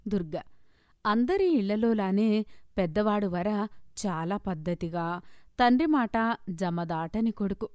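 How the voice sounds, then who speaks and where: A woman's voice: mid-range voice, a little mellow, no room sound, studio quality. A woman narrating a scripted moral story as its storyteller.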